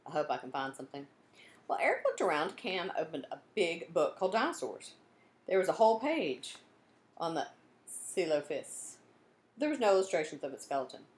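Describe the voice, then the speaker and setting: Animated woman reading a children's story. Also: fairly low pitch, normal speed, roomy sound. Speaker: storybook reader. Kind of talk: expressive children's read-aloud narration.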